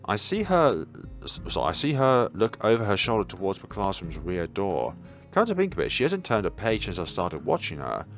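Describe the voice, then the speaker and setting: A young man with a fairly low voice reads a visual novel aloud for a let's play. His intonation is very lively, with small stumbles and casual asides. Soft music plays behind him. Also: moderate pace. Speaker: let's player.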